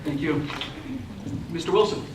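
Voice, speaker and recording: A man moderates a public candidates' forum and calls each speaker in a measured, procedural way. He is heard from a distance in an echoey hall. Noise runs under his voice. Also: fairly high pitch. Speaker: debate moderator.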